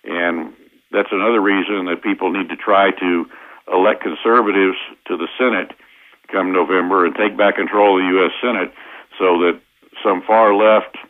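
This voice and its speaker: American man on a tinny phone line, low-pitched. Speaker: interview guest, constitutional lawyer. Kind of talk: interview answers, explanatory political talk. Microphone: telephone.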